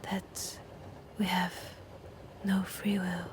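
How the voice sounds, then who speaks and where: A woman voicing a centuries-old vampire woman with a French accent, speaking in a husky, whispery, sick voice in a slow, teasing, languid way for an audio roleplay. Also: on the low side, flat delivery, background noise. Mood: sly.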